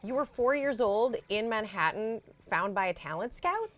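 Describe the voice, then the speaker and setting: A woman's voice: on the high side, lively intonation, moderate pace, music in the background. A woman interviewer asking questions in a playful, teasing way.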